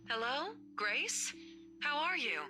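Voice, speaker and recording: A woman's voice: high voice, lively intonation, takes it slowly, sharp tone, soft background music, exaggerated voice. A woman playing a caring middle-aged mother with a tired lilt in a visual novel. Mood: warm, casual.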